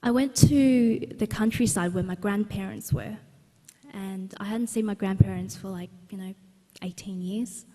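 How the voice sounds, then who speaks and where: A woman's voice: mid-range voice, unhurried, dark tone. A young woman, an author, telling a personal story at a book launch in an earnest, conversational way with many restarts. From Australia. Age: young adult. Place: large exhibition centre hall. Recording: long hall reverb.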